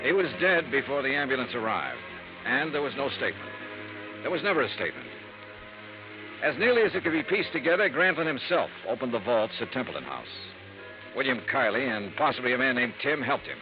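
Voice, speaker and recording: An actor playing a wisecracking freelance insurance investigator in a 1950s radio drama, narrating in a dry, wry first person. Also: male voice, medium pitch, sing-song, a little fast, over music, natural voice. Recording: dull 1950s radio broadcast.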